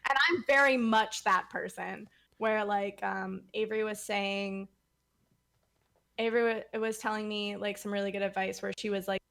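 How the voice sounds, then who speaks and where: A woman's voice: mid-range voice, fairly even intonation, moderate pace, creaky, a bit bright. A woman guest on a streaming talk show, chatting casually and rambling with many fillers. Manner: rambling, many likes and ums. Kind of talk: casual podcast chat.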